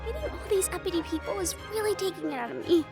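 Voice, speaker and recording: Woman acting high, lilting, affectionate young fiancée. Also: fast, over music. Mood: playful, weary. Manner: affectionate, expressive, chatty. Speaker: audio roleplay voice performer. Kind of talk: intimate scripted audio roleplay addressed to the listener.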